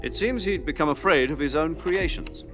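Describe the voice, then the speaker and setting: An actor playing a seasoned, stern military commander who gives measured, authoritative briefings in a cinematic video game. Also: male voice, fairly high pitch, over music, lightly acted.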